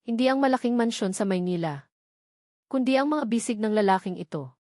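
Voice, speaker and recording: A woman's voice: fairly high pitch, animated, fairly quick, darkish tone, dry recording, clean studio sound. A woman with a Filipino accent narrating a scripted romantic drama as an audiobook storyteller.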